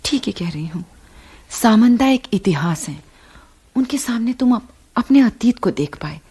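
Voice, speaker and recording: A woman playing a concerned, frank wife in a radio drama, with a soft, husky, breathy voice. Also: a little high, lively intonation, a little slow, heavily acted. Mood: frank, protective. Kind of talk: Hindi radio drama acting.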